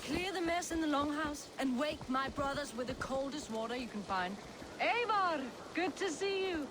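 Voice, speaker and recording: High-voiced, commanding Norse noblewoman. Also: lively intonation, moderate pace, background noise. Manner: firm, imperious, blunt. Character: proud, commanding Norse noblewoman, blunt bride-to-be.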